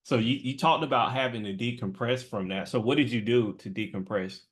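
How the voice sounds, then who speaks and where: Male host, casual interviewer, mid-pitched, hesitant questions. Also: a little fast. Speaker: podcast host.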